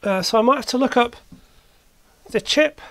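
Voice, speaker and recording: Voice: mid-range voice, normal speed, a bit bright, no room sound. A hobbyist presenter giving a casual hands-on repair commentary, thinking aloud while working. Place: indoor workbench.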